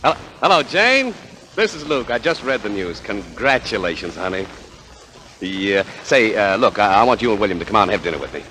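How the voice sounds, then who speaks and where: An actor playing a sarcastic, hot-tempered theater director in a 1940s radio play. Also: male voice, on the low side, big pitch swings, brisk, long reverb tail, poor audio. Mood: coaxing. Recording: faint hiss of old radio.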